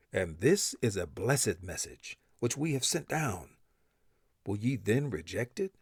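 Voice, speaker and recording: A man's voice: on the low side, darkish tone, no echo, studio quality. A man reading translated scripture aloud with a solemn, emphatic and dramatic delivery. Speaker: reader of scripture. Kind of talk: expressive scripture recitation in English translation.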